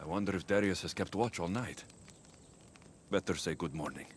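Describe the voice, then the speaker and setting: Male actor as warrior dad for game drama: low, expressive, loud insect ambience behind. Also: moderate pace, a little hiss or hum, natural voice. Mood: earnest, soft. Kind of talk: video game dramatic acting.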